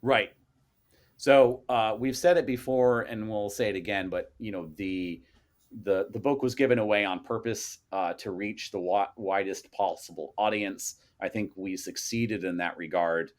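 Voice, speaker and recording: A man's voice: lowish voice, measured pace. A man, a co-author on a podcast, chatting casually and working out numbers aloud as he goes. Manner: rambling, works sums out loud.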